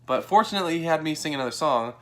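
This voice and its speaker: A young American man telling a personal story to camera in a casual, animated way, acting out what people said. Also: racing, a little hiss or hum. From Texas, United States. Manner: animated, acts out quoted dialogue in a higher voice. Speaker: vlogger.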